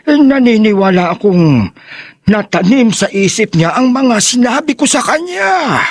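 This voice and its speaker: An actor playing a calm, pious old grandfather with a thin, husky voice in a radio drama. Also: high-pitched, measured pace, no echo, full character voice. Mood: gentle, reassuring.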